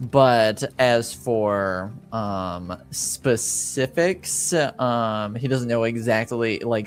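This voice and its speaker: A man improvising narration in a tabletop roleplaying game, speaking in a halting, hesitant way. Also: lowish voice.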